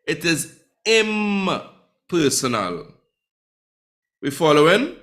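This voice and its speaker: A man's voice: fairly high pitch, very animated, extremely slow, a bit bright. A man teaching a live online Spanish class, chatty and teasing with his students.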